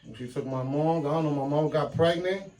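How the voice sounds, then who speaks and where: A man's voice: on the high side, fairly quick, mellow, faint music behind, low-quality recording. A man, chatting as an interview guest in street slang and switching into Spanish now and then. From New York. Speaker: guest rapper. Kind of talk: casual interview chat.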